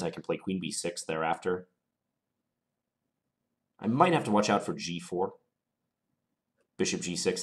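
A man with a slight cold, thinking aloud in a calm, measured way as he plays and comments on an online chess game. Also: low voice.

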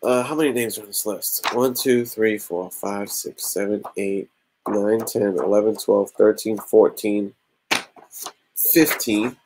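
Male host, 30s, lowish voice, loose chatty delivery, home webcam setup. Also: steady tone, unhurried, noisy background. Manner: casual, chatty, counting aloud with laughs. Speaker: YouTube comic channel host. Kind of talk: casual livestream raffle chat.

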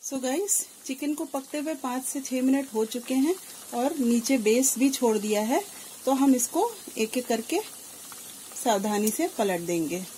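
Female home cook, highish voice, instructive tutorial delivery, frying noise in the background. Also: animated, unhurried, warm tone, rough recording. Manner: instructive, mixes in English words. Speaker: home cook presenting her recipe channel. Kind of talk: step-by-step cooking tutorial voice-over. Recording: clear kitchen noise, frying sizzle. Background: sizzling food and stirring.

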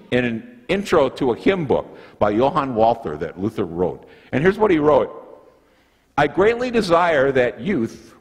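An older man preaching a sermon as pastor in a church sanctuary, telling stories with folksy asides. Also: mid-range voice. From United States. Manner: lively storytelling, folksy asides. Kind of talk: sermon with object lesson. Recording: long church reverb, slightly husky sound.

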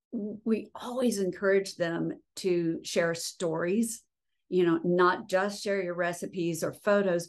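A woman hosting an interview as a cookbook publisher, asking measured questions over a video call from her living room. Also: lowish voice, a little mellow. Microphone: laptop webcam on video call.

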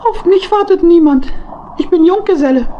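Very high-pitched chatty little hedgehog voiced by a woman. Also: moderate pace, lo-fi sound, slight background noise. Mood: envious, wistful. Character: small male hedgehog, chatty, lonely, eager bachelor. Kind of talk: German children's audio play acting.

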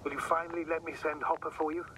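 A man's voice: fairly high pitch, level tone, fast talker, noisy background, close to an everyday voice. An actor playing an earnest, guilt-burdened male mission commander in a dramatic sci-fi video game. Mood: remorseful.

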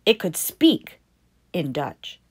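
A woman reading a picture book aloud as a storytime host, deliberate and expressive for young children. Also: deep voice, slightly rough. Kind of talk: scripted picture-book narration read aloud.

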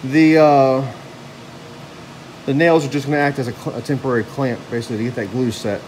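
A man's voice: mid-range voice, fairly even intonation, fairly quick, a little mellow, slight background noise, poor audio. A tired man in his thirties joking his way through a woodworking how-to in his home garage. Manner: joking, self-mocking, talks while working. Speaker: vlogger building a squirrel table. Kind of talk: casual how-to woodworking vlog. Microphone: handheld phone camera.

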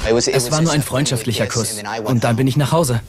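An actor playing a defensive young boyfriend under suspicion in a dubbed true-crime reenactment. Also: male voice, mid-range voice, a little fast, a loud crowd, lightly acted. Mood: guarded. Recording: music behind the voice.

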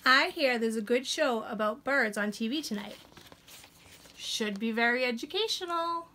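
A woman's voice: a little high, lively intonation, normal speed, bright, forceful tone. A woman voicing a stubborn little pigeon from a picture book, pleading against bedtime in a whiny, wheedling, dramatic way. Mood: defiant. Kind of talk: animated picture-book read-aloud. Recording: some room noise behind.

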